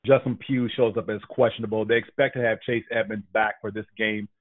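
A man's voice: lowish voice, fairly even intonation, brisk, dry recording. Tinny-sounding male sports podcaster. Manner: talks through injury and ranking news. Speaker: podcast co-host. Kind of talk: casual sports podcast chat. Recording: tinny phone-like dry sound.

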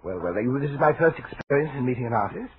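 An actor playing a courtly, poetic, eerily polite suitor with formal, hesitant speech in a 1940s radio drama. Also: male voice, mid-range voice, animated, quick pace, lightly acted. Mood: awed, admiring. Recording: muffled, noisy old radio recording.

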